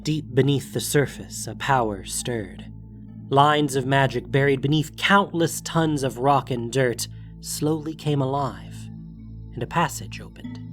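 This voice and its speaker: A man's voice: medium pitch, unhurried, fairly bright tone, faint music behind. A man reading scripted third-person narration for a fantasy audio drama.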